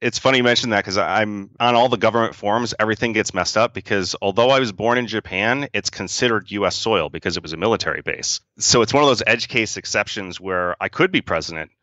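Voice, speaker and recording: Deep-voiced man explaining in a dry room. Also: fast, few pauses, sharp tone, no room sound, lo-fi sound. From upstate New York. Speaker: podcast guest, software founder. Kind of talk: casual interview answers.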